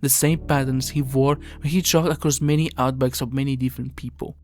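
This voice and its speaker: A man's voice: medium pitch, steady tone, quick pace, darkish tone. A man reading scripted video essay narration in an earnest, somber, explanatory way. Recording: music under the voice.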